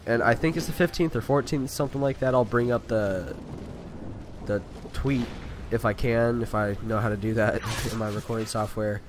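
A man's voice: mid-range voice, moderate pace, noisy background. A man with a stuffy, nasal voice from being sick, rambling through casual commentary over his gameplay. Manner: rambling, talkative, frequent fillers. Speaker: gaming video creator. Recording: voice over loud gunfire game audio. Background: video game gunfire and sound effects.

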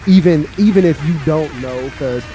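A man's voice: fairly high pitch, normal speed, dark tone, soft background music. A man co-hosting a casual hip hop podcast, talking emphatically and often asking "you know what I'm saying?". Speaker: podcast co-host.

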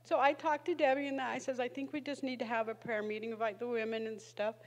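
A woman speaking to a congregation softly and hesitantly, emotional as she talks. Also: on the high side, level tone, fast, slight background noise. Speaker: women's ministry speaker. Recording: distant and reverberant in a church hall.

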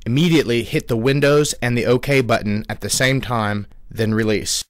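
A man giving a scripted voice-over with calm, measured step-by-step instructions for resetting a handheld device. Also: medium pitch, no echo, clean studio sound. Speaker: product tutorial narrator.

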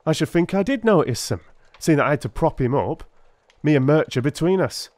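A man's voice: a little high, expressive, fairly quick, a little mellow, no echo, voice pushed up, close to an everyday voice. A man as a touchy police constable. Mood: irritated. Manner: colloquial, aggrieved, blunt. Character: plain-spoken, touchy male London police constable.

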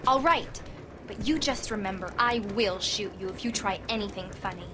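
A woman's voice: normal speed, natural voice. An actress playing an earnest, assertive young woman police officer, defiant and guarded, in a dramatic video game style. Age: young adult. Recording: game audio with train rumble behind.

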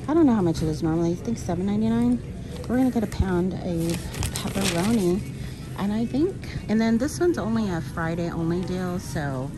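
A woman's voice: mid-range voice, lively intonation, a little fast, noisy background. Chatty woman filming a grocery run. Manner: chatty, practical, addresses viewers as y'all. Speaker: grocery haul vlogger. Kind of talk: casual shopping walkthrough. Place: supermarket aisles. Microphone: handheld phone camera. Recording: music playing in the store. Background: store background music.

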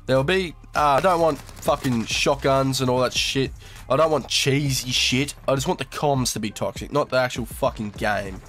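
A man's voice: medium pitch, normal speed, a bit bright, soft background music. Sweary Australian young man, a bit nasal. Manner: crude, swearing, laid-back slang. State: slightly nasal from a cold. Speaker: gaming YouTuber. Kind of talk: casual commentary over gameplay.

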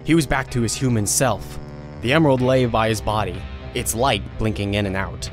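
A man's voice: fairly low pitch, steady tone, moderate pace, over music. A man narrating an audio drama in a casual storytelling manner.

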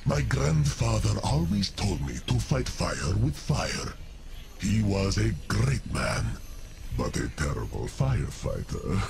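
An actor playing a menacing fire demon of metal and flame in a video game, with a gravelly growl and a grandiose, contemptuous manner. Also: male voice, lowish voice, sing-song, slow pace, a little mellow, a little hiss or hum, heavily acted. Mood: joking. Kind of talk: video game character voice lines.

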